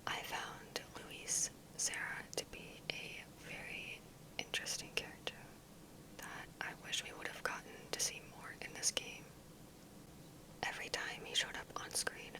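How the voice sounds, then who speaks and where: A woman giving ASMR commentary in a soft, breathy, unvoiced whisper, with careful, measured delivery. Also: rough recording. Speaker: ASMR gaming video creator.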